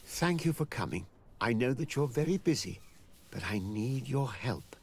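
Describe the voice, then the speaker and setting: An actor playing a courteous older professor and mapmaker in a fantasy game, creaky-voiced, polite and wordy. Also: male voice, mid-range voice, animated, moderate pace, a little mellow. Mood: polite, appealing. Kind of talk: fantasy video game acting.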